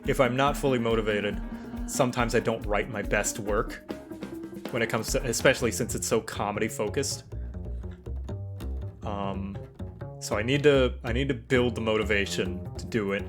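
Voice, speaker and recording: A man with a slight stutter chatting casually on a livestream, explaining his creative process as an animator in a halting, rambling way. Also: on the low side. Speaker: streamer and animator. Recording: music playing behind.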